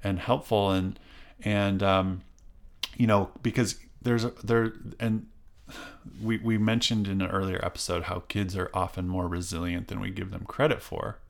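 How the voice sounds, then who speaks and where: Deep-voiced middle-aged guy on a parenting podcast, slow and thoughtful, lots of ums and restarts. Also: flat delivery, creaky. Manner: thoughtful, halting, many fillers and restarts. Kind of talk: casual conversation.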